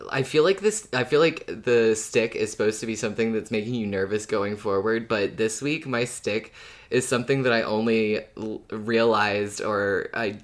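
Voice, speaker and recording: Very low-voiced woman co-hosting casually. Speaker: podcast co-host. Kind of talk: casual fan podcast chat.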